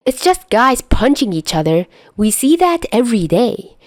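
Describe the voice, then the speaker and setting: A woman's voice: on the high side, sing-song, moderate pace, no room sound, studio quality, pitched above the performer's own voice. Woman playing a smug, bickering teenage boy. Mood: haughty bickering. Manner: teasing, condescending.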